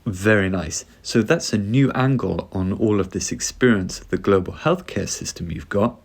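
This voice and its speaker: A man hosting a podcast, reading a smooth scripted introduction and asking interview questions. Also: lowish voice, moderate pace. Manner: smooth, read-aloud delivery. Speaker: podcast host. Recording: music bed behind the voice. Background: music.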